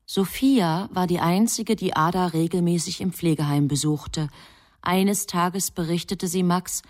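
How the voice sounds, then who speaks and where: A woman narrating a radio drama in a measured, sober manner. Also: lowish voice, darkish tone.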